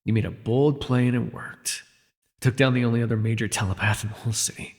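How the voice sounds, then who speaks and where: A man playing a jaded, wry imprisoned telepath supervillain in an ASMR audio roleplay, speaking in a sardonic, weary, gravelly way with sighs. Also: mid-range voice, steady tone, quick pace, a little mellow, big-room sound, close to an everyday voice. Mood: defensive, forceful.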